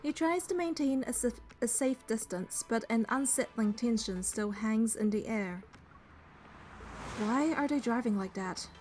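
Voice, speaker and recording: A woman's voice: a little high, expressive, measured pace, darkish tone, faint music behind. A woman voicing a young female hunter and bodyguard, narrating a romance game in an earnest, lightly teasing way. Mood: tense. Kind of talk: scripted first-person game narration and dialogue.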